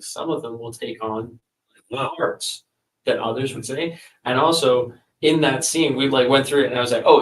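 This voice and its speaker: A man's voice: medium pitch, far from the mic. Rambling man in an echoey room. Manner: rambling with many fillers and restarts. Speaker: author. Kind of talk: casual chat.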